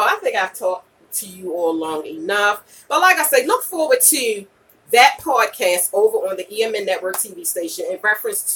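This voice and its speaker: A woman's voice: medium pitch, a bit bright, far from the mic, slight background noise, poor audio. A woman in her late forties giving candid, emphatic advice in a casual, slangy livestream chat, repeating her points for weight. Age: late 40s. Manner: emphatic and repetitive, punctuated with "okay?" and "you know". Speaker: vlogger and podcaster chatting live with viewers.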